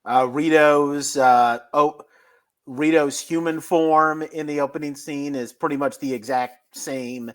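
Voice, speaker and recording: Casual man with a slightly high voice. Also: fairly even intonation, measured pace, a bit bright. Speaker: podcast panelist. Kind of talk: casual chat.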